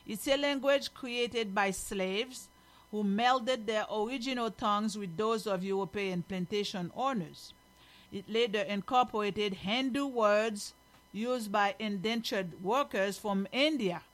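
A woman's voice: medium pitch, fairly bright tone, rough recording. A woman from Haiti with a Haitian accent, reading news aloud as a host and commenting on it in an emphatic, opinionated way.

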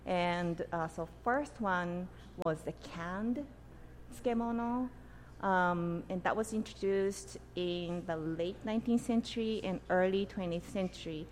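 A middle-aged woman from Japan, an author and guest, answering interview questions in an animated, explanatory way with frequent "you know" and "right?". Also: on the low side, a little slow, a little hiss or hum.

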